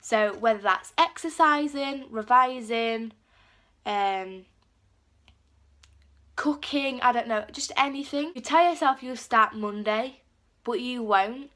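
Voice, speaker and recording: Bright-voiced teen girl, chatty. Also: fairly high pitch, slow pace, pauses often. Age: teens. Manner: chatty, lots of "like" fillers, acts out quotes. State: has a cold. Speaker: vlogger. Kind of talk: casual talk to camera.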